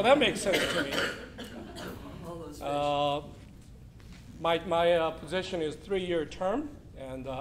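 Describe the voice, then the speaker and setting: A man from South Korea, a church official giving a loud, chatty talk to a congregation in a church hall. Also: on the high side, noisy background. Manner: loud, projecting, chatty with the audience. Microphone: weak room microphone.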